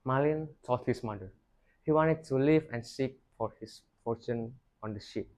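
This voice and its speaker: A young male storyteller with a medium-pitched voice. He delivers a folktale like a rehearsed performance, declaiming each phrase with emphasis. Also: moderate pace, darkish tone, rough recording. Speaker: student storyteller.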